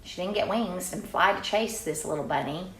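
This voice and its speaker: A middle-aged woman reading a picture book aloud to children, expressive and exclaiming, with chatty conversational asides. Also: fairly low pitch, normal speed, slight background noise. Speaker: children's storyteller and drama teacher. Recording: roomy, echoey sound.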